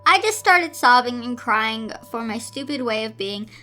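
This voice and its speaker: Lady doing a distraught meek schoolgirl from a story, high bright voice, pleading and overwrought. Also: expressive, moderate pace, soft background music. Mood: tearful, despairing. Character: distraught, self-blaming young schoolgirl, tearful and meek.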